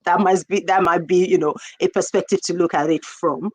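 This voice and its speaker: A woman with a Nigerian accent hosting a folktale talk, speaking reflectively and deliberately, repeating questions and phrases for emphasis. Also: on the low side, fairly even intonation, a little mellow, breathy edge. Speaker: storyteller host.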